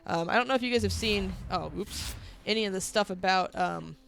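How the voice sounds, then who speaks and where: A woman's voice: mid-range voice, a little fast, gravelly, fairly bright tone. A young woman streaming a video game, nasal from a cold, chatting in a rambling way with viewers. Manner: chatty, rambling, laughs often. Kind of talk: casual chat while playing.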